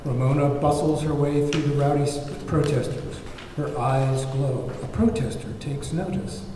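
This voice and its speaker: A man's voice: fairly high pitch, steady tone, moderate pace. A man reading screenplay stage directions as narrator at a table read. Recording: very echoey hall, distant mic, faint noise.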